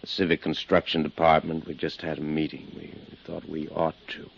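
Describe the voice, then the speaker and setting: An American actor playing a big, smug, bullying town boss with curt, self-assured menace in a 1950s radio drama. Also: male voice, extremely low pitch, completely flat delivery, normal speed, creaky, slightly rough, exaggerated voice. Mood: guarded, smooth. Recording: muffled 1950s radio recording.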